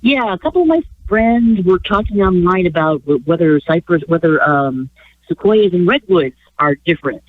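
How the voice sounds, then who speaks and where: A woman co-hosting a radio chat with a stuffy nose from a cold, rambling with halting restarts and frequent chuckles. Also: lowish voice, expressive, dry recording. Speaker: radio show co-host. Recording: tinny phone line.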